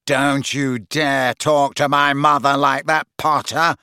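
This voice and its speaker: A man's voice: mid-range voice, expressive, a little slow, sharp tone, clear, resonant tone, no echo, clean studio sound. A man reading an audiobook, voicing a sneering, spoiled teenage boy. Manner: sneering, snarling.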